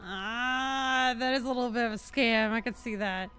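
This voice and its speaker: A woman livestreamer chatting casually with viewers over a game, giggly and quick to laugh. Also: fairly high pitch, pushed and bright, clear, resonant tone, soft background music. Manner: giggly, bubbly, laughs often. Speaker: livestreamer host. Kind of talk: casual chat with live viewers while gaming.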